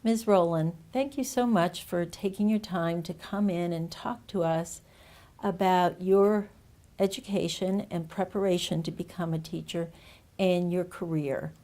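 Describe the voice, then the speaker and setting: Mellow-voiced woman interviewer. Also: on the low side, fairly even intonation, measured pace. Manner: polite, encouraging listener. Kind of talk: oral history interview.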